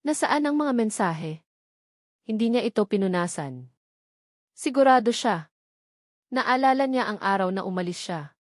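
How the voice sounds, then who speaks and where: A woman's voice: medium pitch, animated, a little slow, pauses often, no echo, studio quality. A woman storyteller reading a scripted romantic drama in a dramatic narrating style.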